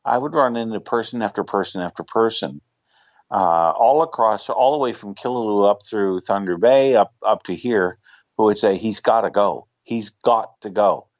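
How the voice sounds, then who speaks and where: A man with a low voice, talking politics in a measured, hedging way. He comes through a somewhat muffled remote line that sounds dry. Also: dry recording. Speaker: radio talk show co-host. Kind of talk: casual political chat.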